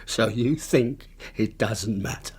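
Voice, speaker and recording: An actor playing a secretive, volatile English husband in a radio drama, with a theatrical manner and grandiose tastes. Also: male voice, on the low side, slow, darkish tone, breathy edge, exaggerated voice. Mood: agitated, suspicious. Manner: theatrical, volatile, given to nervous laughter.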